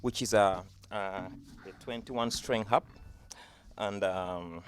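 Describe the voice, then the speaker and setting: A man's voice: lowish voice, takes it slowly, a little hiss or hum. A Ugandan man whose first language is Luganda gives a virtual school presentation as a music teaching artist. He explains patiently and says "uh" and "you know" often, speaking into a computer webcam mic.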